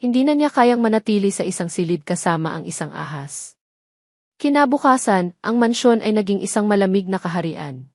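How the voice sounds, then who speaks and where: A woman's voice: mid-range voice, expressive, brisk, no echo, clean studio sound. A woman narrating a dramatic romance story from a script.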